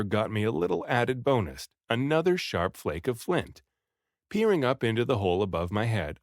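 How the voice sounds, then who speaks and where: A man reads an adventure novel aloud as an audiobook narrator, with lively swings in pitch and a dramatic touch. His mid-pitched voice is recorded close and dry. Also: no room sound. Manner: dramatic, animated storytelling. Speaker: audiobook reader. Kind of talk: expressive first-person storybook narration.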